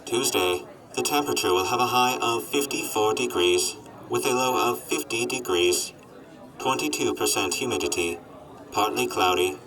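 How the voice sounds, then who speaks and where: An actor voicing a gloomy, miserable male robot in a radio drama, speaking in a flat machine monotone. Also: mid-range voice, moderate pace, recorded from a distance, natural voice, low-quality recording.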